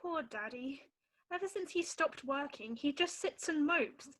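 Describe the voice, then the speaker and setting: A woman's voice: high-pitched, lively intonation, a little slow, fairly bright tone. A woman playing an anxious, earnest young girl in an amateur musical read-through, with a childlike manner. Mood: worried, earnest.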